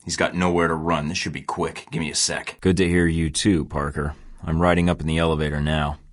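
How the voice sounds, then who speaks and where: Deep-voiced sarcastic spy guy for a game, dry clipped field chatter, clean booth sound. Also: little pitch movement, fairly quick. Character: wry male secret agent, cool under pressure. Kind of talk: video game voice lines.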